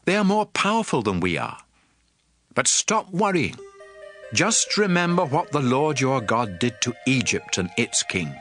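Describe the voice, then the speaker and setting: A man's voice: medium pitch, a bit bright. Man playing a fervent, sweeping prophet addressing his people. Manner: solemn, emphatic, declamatory. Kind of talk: dramatized scripture reading. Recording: music under the voice.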